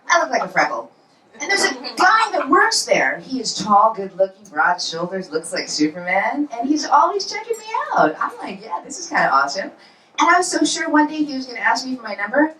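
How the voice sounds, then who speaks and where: A woman in her forties tells punchy, self-deprecating stories on a comedy club stage. Her voice is bright and full of swings in pitch, picked up from a distance, with the room ringing around it. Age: 40s. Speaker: stand-up comedian. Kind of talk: stand-up comedy set. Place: comedy club in New York. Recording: roomy club sound, from far off.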